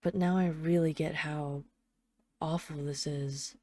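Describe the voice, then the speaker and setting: Low, mellow lovesick mafia boss woman, acted. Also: steady tone, takes it slowly. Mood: regretful, vulnerable. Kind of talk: intimate audio roleplay, one side of a phone call.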